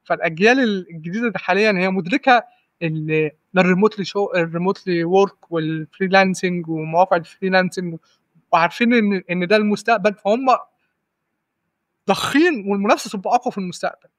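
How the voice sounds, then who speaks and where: A man's voice: high-pitched, fast talker, no room sound. A man in his twenties with an Egyptian accent, a podcast guest giving advice in casual talk, often repeating and restarting words and checking "right?". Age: 20s. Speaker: guest data analyst.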